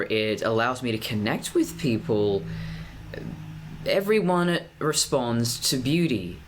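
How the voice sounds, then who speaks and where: A young man with a deep, mid-pitched voice, talking to his camera in a personal vlog. He picks his words with care and paces them deliberately. He often restarts a phrase before carrying on. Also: a little hiss or hum. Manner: deliberate, measured pacing with frequent restarts. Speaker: vlogger.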